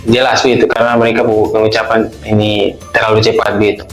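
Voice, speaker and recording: A young man from Alor, a student, speaking in a measured way in an interview. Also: lowish voice, level tone. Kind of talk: casual testimonial. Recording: echoey room with music bed.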